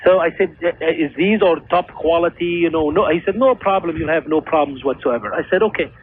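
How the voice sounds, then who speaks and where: A man's voice: fairly high pitch, brisk. A middle-aged man calling a phone-in show, telling his story in an earnest, insistent way with non-native phrasing. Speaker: caller, clothing store owner. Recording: tinny phone line with hiss.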